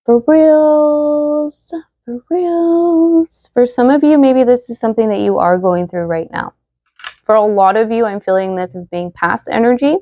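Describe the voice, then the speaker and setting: A young woman giving a casual tarot reading to viewers, chatty and full of fillers, slightly hoarse as she loses her voice. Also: fairly high pitch, unhurried, warm tone, dry recording, lo-fi sound. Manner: chatty, many fillers, reads card messages aloud. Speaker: tarot reader.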